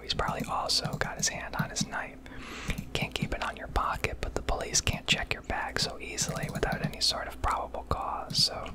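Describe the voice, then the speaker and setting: An American woman whispering a casual, rambling ASMR commentary over gameplay, swearing freely. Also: very high voice. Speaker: video creator narrating gameplay.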